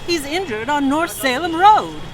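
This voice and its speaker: An actress playing a spirited, wry assistant to a detective in old-time radio drama style. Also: female voice, sits high, lively intonation, a little slow, a little hiss or hum. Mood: worried.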